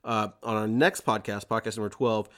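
Male podcast host, lowish voice, excitable casual chatter with emphatic repetition. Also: moderate pace. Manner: excitable, repeats words emphatically. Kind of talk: casual chat on a fan podcast.

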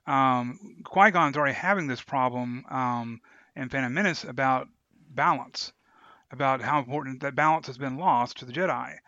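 A man co-hosting a podcast, explaining his thoughts in a halting, reflective, conversational way. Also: medium pitch, sharp tone, no room sound, muffled recording. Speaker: podcast co-host. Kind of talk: casual discussion.

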